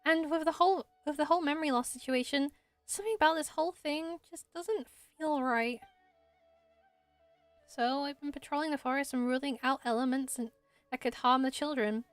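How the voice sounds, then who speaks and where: A woman's voice: high-pitched, normal speed, no room sound, soft background music, close to an everyday voice. A woman voicing a kind, earnest young female forest patroller who is protective like a big sister, in an amateur game voice-over. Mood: concerned.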